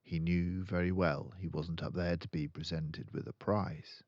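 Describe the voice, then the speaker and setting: An older man reading a children's story aloud, deliberate and dramatic in his narration. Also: low-pitched, steady tone, a little mellow. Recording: slightly dull, roomy home recording.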